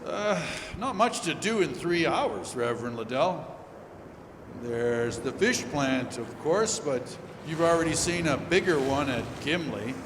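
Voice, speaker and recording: A man's voice: on the high side, animated, a little slow. A man playing a seasoned, practical lake steamer captain in old-time radio drama. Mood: practical, cautionary. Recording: echoey hall with background noise.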